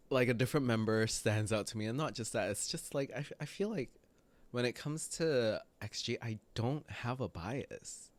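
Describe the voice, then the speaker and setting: A man's voice: mid-range voice, smooth, no room sound. A man giving casual reaction commentary as a video host, thinking aloud in a hesitant way.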